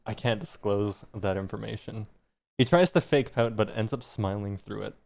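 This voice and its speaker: A man's voice: on the low side, moderate pace, gravelly, darkish tone. Male streamer reading fan fiction aloud.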